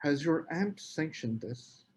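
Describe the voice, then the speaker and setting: An actor plays an evasive, well-mannered gentleman in a drama table read. His husky voice sits a little high for a man, and his formal courtesy carries an uneasy edge. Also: animated, slow pace, exaggerated voice. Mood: gently refusing. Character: anxious, evasive gentleman lodger, politely making excuses.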